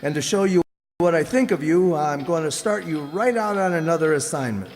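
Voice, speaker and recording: An actor playing a brusque, avuncular newspaper boss in a radio serial. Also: male voice, a little high, expressive, fairly quick, a little mellow. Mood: hearty. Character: brusque but approving male newspaper editor. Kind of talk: old-time radio serial acting. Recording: echoey hall.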